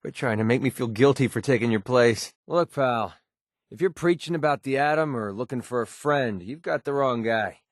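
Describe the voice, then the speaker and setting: A male actor plays a young mercenary companion in a video game, cynical and quick to wisecrack. His voice sits at a medium pitch with a colloquial, sardonic edge that leaves room for a softer side. The recording is dry and free of room sound. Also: dry recording, lightly acted. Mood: teasing, brash. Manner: wry, sarcastic, colloquial delivery.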